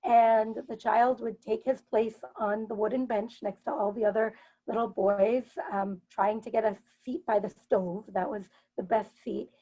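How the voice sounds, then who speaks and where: Female professor on a panel, voice a bit higher than average, explaining carefully in a measured way. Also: fairly even intonation, dark tone, muffled recording. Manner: articulate, measured, explains terms as she goes. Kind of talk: explanatory academic talk.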